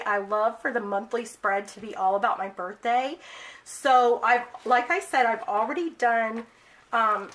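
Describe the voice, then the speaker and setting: A middle-aged woman, talking casually and enthusiastically through a planner tutorial, with a raspy, congested voice from a cold. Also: a little high, a bit bright. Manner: friendly, enthusiastic, with frequent ums. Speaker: planner vlogger. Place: small home craft room.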